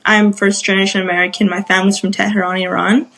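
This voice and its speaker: Young woman musician from Los Angeles, relaxed casual guest, mid-range voice. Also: mid-range voice, fast talker, low-quality recording. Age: young adult. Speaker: DJ and singer, guest. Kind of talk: casual interview talk.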